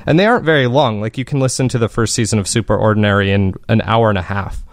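A man's voice: on the low side, quick pace, no echo. A man speaking as an interview guest, chatting casually and enthusiastically in rambling explanations. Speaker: guest, fiction podcast maker.

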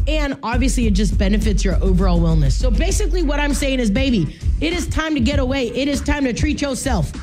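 A woman hosting a morning radio show in a playful, informal, chatty way, a native Spanish speaker talking in English. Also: sits high. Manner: playful, informal, conversational asides and slang.

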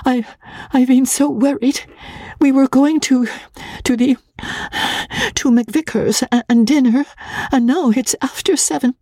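A woman's voice: high voice, fairly even intonation, a little slow, pauses often, warm tone, no echo, pitched above the performer's own voice. A woman voicing a nervous young bride who stammers in a breathless, near-whispered voice. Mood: anxious, pleading.

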